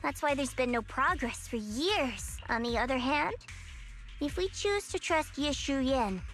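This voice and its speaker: An actress playing a composed, pragmatic young ally in a video game, calm and matter-of-fact. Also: female voice, high-pitched, unhurried, faint music behind. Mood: calm, cautious. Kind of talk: scripted video game voice acting.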